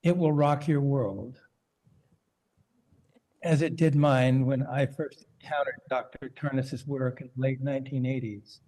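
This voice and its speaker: An older man offers a long, earnest remark in a conference question session. His voice is mellow and warm, and he pauses often with ums. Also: medium pitch, steady tone, rough recording. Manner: measured and earnest, with frequent ums. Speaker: conference participant. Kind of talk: comment in a conference Q&A.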